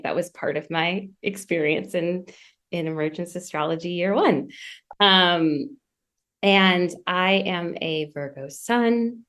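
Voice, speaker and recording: A woman's voice: sits low, fairly bright tone. A woman from Massachusetts, a podcast guest on a remote call, speaking earnestly and speeding up in eager bursts. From Massachusetts, USA. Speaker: guest, astrology student. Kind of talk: conversational podcast interview.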